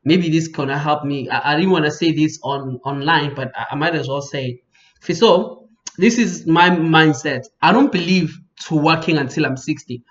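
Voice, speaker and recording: A man in his thirties with a South African accent, hosting a podcast interview in an animated, emphatic way, repeating himself to drive points home. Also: a little high, moderate pace. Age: 30s. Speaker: podcast host. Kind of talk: casual interview chat. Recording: dull lo-fi podcast audio.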